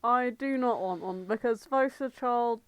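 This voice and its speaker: A woman's voice: on the high side, normal speed, smooth, no room sound. A British woman co-hosting a podcast in casual comedic chat, blunt and sweary. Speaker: podcast co-host.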